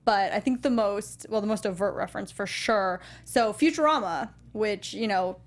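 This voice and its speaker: A woman's voice: medium pitch, normal speed. A woman co-hosting a casual movie review show, chatty with lots of fillers and laughter. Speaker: podcast co-host.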